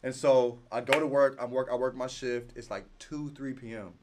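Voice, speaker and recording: A man in his thirties chatting casually on a show, telling slangy, animated stories and laughing readily. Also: mid-range voice, normal speed, noisy background. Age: 30s. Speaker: livestream show host. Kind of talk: casual bantering chat.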